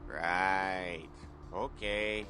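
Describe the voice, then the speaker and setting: A man's voice: low-pitched, fairly even intonation, extremely slow, music in the background. An actor playing an officious, easily cowed male security guard in a video game, with nervous patter. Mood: compliant. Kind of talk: video game character acting.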